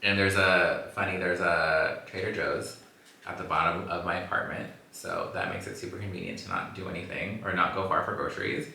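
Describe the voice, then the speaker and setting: A man hosting a podcast in casual chat, playful and teasing, quick to laugh, from Los Angeles. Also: sits low, expressive, vocal fry, bright, forceful tone, recorded from a distance. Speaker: podcast host. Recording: echoey room, voice a bit off-mic.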